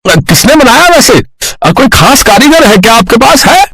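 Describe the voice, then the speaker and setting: A man's voice: extremely high pitch, very animated, moderate pace, fairly bright tone, husky, no echo, voice pushed up, exaggerated voice. A man voicing an effusive, friendly, well-off male customer in cartoon story dialogue. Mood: impressed.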